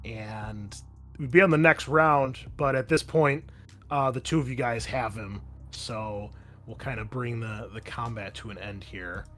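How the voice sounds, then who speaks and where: A man's voice: medium pitch, unhurried, fairly bright tone. A man narrating a tabletop roleplaying game as game master, conversational and explanatory. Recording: music playing behind the voice. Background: background music.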